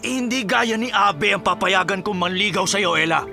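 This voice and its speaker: A man acts out a middle-aged Filipino farmer father for radio drama, his voice pitched high over background music. He is stern and resentful, scolding with heavy emphasis. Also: normal speed, gravelly. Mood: angry scolding.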